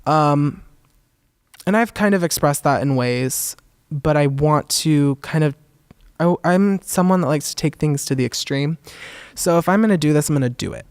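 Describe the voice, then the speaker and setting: Young man with a smooth, soft voice. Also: fairly high pitch, moderate pace, no echo. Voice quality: describes his own voice as feminine. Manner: earnest, many "um"s and "kind of", laughs often. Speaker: guest, photographer and zine curator. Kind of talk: onstage interview. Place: theater stage.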